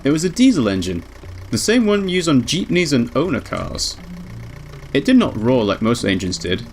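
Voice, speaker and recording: Animated male storyteller over music. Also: mid-range voice, normal speed, slight background noise. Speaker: let's player reading a visual novel aloud. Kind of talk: expressive storytelling narration. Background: background music.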